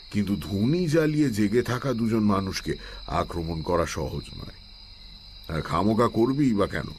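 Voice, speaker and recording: Low, measured village holy man. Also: a little hiss or hum. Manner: measured, philosophical, chanting Sanskrit verses slowly. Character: wise, calm village holy man, shrewd and learned. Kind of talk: Bengali audio-drama acting. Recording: steady night insect ambience behind.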